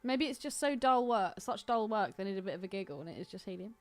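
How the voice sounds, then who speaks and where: A woman's voice: medium pitch, lively intonation, fast, dry recording, soft background music. A woman co-hosting a podcast, chatting casually about a film with teasing, sweary banter. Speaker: podcast co-host. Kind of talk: casual film commentary chat.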